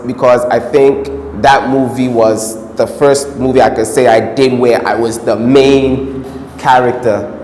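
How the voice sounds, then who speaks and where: An American-accented man talks through his acting work in a loose, rambling way, full of fillers and asides. His voice sits in a mid pitch. The room is echoey with a long reverb tail and a faint hiss behind it. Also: fairly even intonation, unhurried, darkish tone. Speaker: film actor. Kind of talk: casual interview answers.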